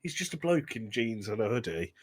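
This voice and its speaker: A man's voice: mid-range voice, very animated, a little fast, slightly rough, no echo. A man co-hosting a podcast, talking casually and emphatically as he critiques a film. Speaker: podcast co-host. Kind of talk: casual film review chat.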